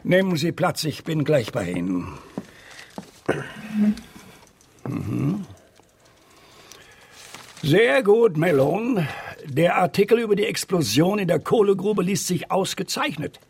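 An actor playing a gruff, pompous middle-aged newspaper editor in a radio drama, raspy and easily exasperated. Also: male voice, high-pitched, animated, a little slow, full character voice. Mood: busy, businesslike. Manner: exasperated, pompous, opinionated, stammering in thought. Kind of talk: German radio drama acting.

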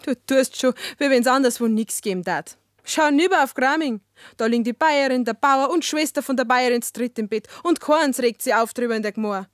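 A woman's voice: sits high, normal speed, dry recording, studio quality, natural voice. An actress playing a defiant, coaxing young village woman with a Bavarian accent in a radio drama, frank and unapologetic. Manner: cajoling, unapologetic. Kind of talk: German dialect radio drama acting.

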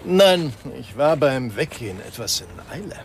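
A man's voice: medium pitch, very animated, moderate pace. An actor playing a boozy, self-mocking married man in a German radio crime drama, chatty and ironic. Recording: car and vehicle noise behind.

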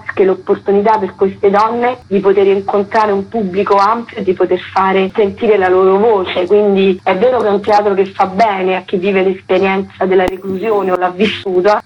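Husky woman on slightly dull radio audio. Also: medium pitch. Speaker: theatre director, interview guest. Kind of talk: explanatory radio interview.

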